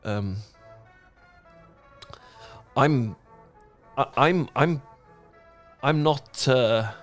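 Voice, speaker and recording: A British man playing an awkward, flustered, dorky young man in a romantic audio roleplay, stammering, halting and apologetic as he rambles. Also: medium pitch, faint music behind. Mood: rambling, apologetic. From Britain.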